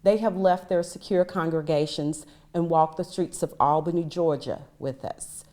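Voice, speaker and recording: Female reader, deep register, public reading of a historic written text. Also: moderate pace, warm tone.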